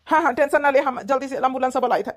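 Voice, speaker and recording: A man's voice: very high-pitched, racing. A man voicing a worried, rambling village husband in a cartoon comedy, with an Awadhi accent. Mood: reassuring, hurried. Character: worried eldest son, a flustered village husband.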